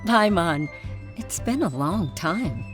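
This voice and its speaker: A young woman official speaks in a low, soft-spoken voice. She talks earnestly and plainly, and game music plays clearly beneath her. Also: big pitch swings, slow. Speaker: game character.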